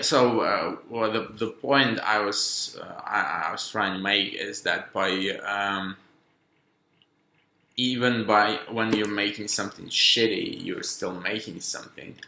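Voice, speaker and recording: Low gravelly man musing in an echoey room. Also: sharp tone. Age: 30s. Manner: halting, musing, many restarts and fillers. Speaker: podcaster. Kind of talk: rambling solo monologue.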